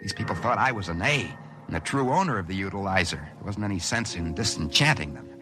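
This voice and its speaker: An actor playing an excitable ordinary man in a 1950s science fiction radio drama, narrating in a theatrical, wry way. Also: on the low side, fast talker, lightly acted. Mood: musing, pleased. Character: excitable ordinary man narrating his sudden good fortune. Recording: old radio show with music behind.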